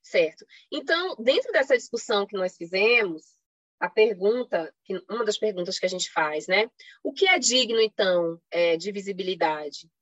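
A woman's voice: mid-range voice, lively intonation, normal speed, fairly bright tone, no room sound. A woman with a Brazilian Portuguese accent giving an explanatory academic talk online, often posing rhetorical questions and checking in with short tags. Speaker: academic panelist giving a presentation. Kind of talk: academic online lecture with slides, semi-improvised. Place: online live stream. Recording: slightly dull lo-fi online call audio.

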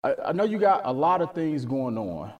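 A man's voice: a little high, big pitch swings, normal speed, recorded from a distance. A man hosting a podcast interview in an upbeat, affirming way. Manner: upbeat, affirming, frequent "right" and "definitely". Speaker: podcast host.

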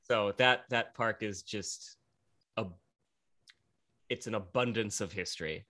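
A man's voice: fairly low pitch, a little slow, sharp tone. A young man from Pennsylvania with a Pennsylvania accent, chatting eagerly as a podcast guest. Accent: Northeastern Pennsylvania. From Pennsylvania, USA. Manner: eager, chatty, frequent fillers. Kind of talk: casual interview chat.

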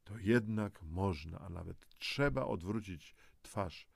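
Man, motivational audio guide narration, encouraging, direct address, creaky low voice. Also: expressive, takes it slowly, no room sound, studio quality. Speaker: presenter of a self-help audio guide.

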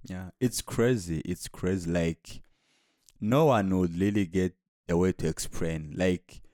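Young guy, low-ish voice, soft and halting, dry close sound. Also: no echo. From Rwanda. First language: Kinyarwanda. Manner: soft-spoken, hesitant with repeated restarts. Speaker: guest. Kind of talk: casual interview conversation.

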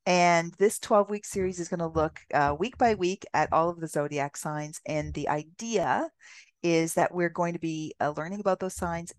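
A woman's voice: low-pitched, no echo. A woman chatting as a podcast guest, laughing often and talking in a rambling, reflective way. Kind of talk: casual podcast conversation.

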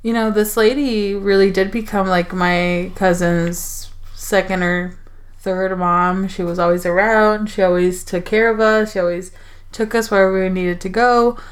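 A woman's voice: medium pitch, steady tone, a little slow. A young adult woman from the Mexico border area, chatting casually about a film with frequent likes and restarts. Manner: hesitant, many likes and restarts. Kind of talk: casual film review chat.

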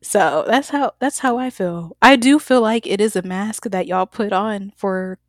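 A young woman from the American South co-hosts a casual podcast, talking candidly and telling stories with animation. Her voice sits at a middle pitch and sounds dry and close to the mic. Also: measured pace, dry recording. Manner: candid, animated storytelling with bursts of laughter. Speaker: podcast co-host. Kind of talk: casual chat.

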